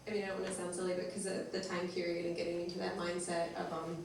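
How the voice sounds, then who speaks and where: A very roomy, distant recording of a woman with a slightly low voice. She answers informally and hesitantly, thinking aloud as a performer and a little self-deprecating. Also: completely flat delivery, fast talker, gravelly. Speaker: performer discussing her character. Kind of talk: informal panel discussion. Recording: echoey room, distant mic, faint noise.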